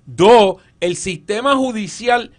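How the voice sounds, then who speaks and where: A man's voice: high voice, animated, slow. A man with a Puerto Rican accent debating politics as a panelist, emphatic and colloquial, tossing out rhetorical questions. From raised in Michigan.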